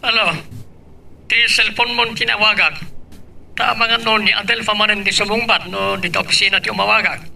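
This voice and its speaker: A man's voice: high-pitched, steady tone, moderate pace, vocal fry, bright, forceful tone, heavily acted. A man acting a stern, questioning boss on a telephone call in an Ilocano radio drama. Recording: slightly distant, faint background noise.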